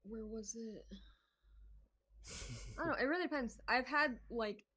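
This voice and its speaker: Young woman guest, soft-spoken and hesitant, filler-heavy casual chat. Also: on the high side, measured pace, breathy edge. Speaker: podcast guest.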